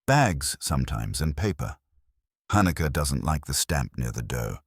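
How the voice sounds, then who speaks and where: Man reading as male bakery apprentice, guarded, hesitant, very low husky voice, audiobook mystery. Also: sing-song, measured pace, no room sound, clean studio sound, exaggerated voice. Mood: wary.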